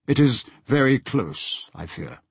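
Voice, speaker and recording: A man's voice: mid-range voice, very animated, a little slow, creaky, husky, no echo, heavily acted. A man voicing a tall, intense diplomat in a storybook, formal, urgent and insistent. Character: tall, intense, impatient male diplomat on secret mission.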